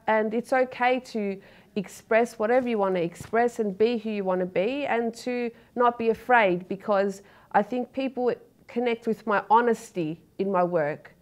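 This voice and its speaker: Earnest Australian woman poet in studio. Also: a little high. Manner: earnest, open, with many fillers and restarts. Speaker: poet, interview guest. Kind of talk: studio interview. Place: dark TV studio, seated in a chair.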